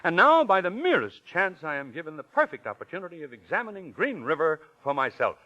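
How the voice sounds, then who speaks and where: A man playing a smooth, pompous con man posing as a professor in 1940s radio drama, wordy, grand and given to hesitant stammers. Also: fairly high pitch, very animated, a little fast, bright, forceful tone. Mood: grandiose. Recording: muffled vintage 1940s radio recording.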